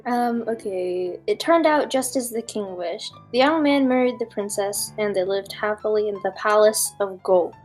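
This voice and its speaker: A woman's voice: mid-range voice, moderate pace, hardly any pauses, darkish tone, faint music behind. A woman reading a fairy tale aloud on a podcast in an informal, giggly way, breaking off for casual asides.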